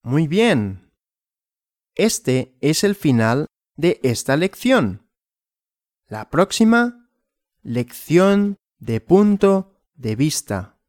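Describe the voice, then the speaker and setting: Male language teacher, very slow and deliberate, lively up-and-down intonation, dry close recording. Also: fairly high pitch, dry recording. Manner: very slow, deliberate, repeating and asking himself questions. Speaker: Spanish teacher. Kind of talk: slow graded language lesson with questions and answers.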